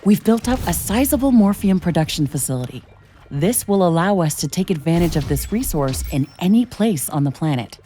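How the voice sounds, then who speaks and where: A woman's voice: lowish voice, expressive, a little fast, dark tone, a little hiss or hum, close to an everyday voice. A woman playing a determined scientist-commando in a video game, speaking in a composed, matter-of-fact, analytical way. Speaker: game protagonist. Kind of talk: scripted video game dialogue.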